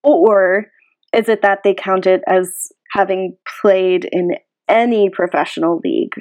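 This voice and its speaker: A woman's voice: medium pitch, steady tone, unhurried, lo-fi sound. A woman co-hosting a podcast in casual sports chat, with a dry, matter-of-fact manner. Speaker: podcast co-host.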